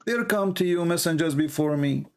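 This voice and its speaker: A man's voice: fairly high pitch, moderate pace. A man whose first language is Arabic, hosting a live debate call in earnest, repetitive, broken English. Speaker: host of a live debate show.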